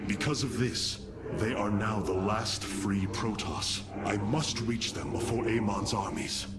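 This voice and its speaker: Man playing a low-voiced, noble alien commander. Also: a little slow, loud music behind the voice, lightly acted. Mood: solemn, determined. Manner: solemn, declamatory, formal. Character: noble, resolute male alien warrior leader. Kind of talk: video game cinematic acting.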